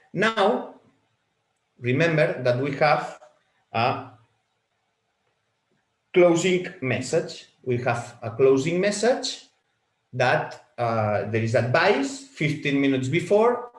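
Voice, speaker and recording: A man whose first language is Spanish, leading a software walkthrough webinar as a product trainer, halting and repeating words as he clicks through settings. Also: on the high side, very animated, roomy sound.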